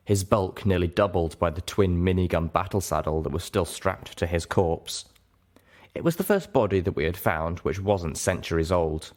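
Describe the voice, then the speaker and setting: A man reading fiction aloud as scripted audiobook narration. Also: low-pitched. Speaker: reader, narrator.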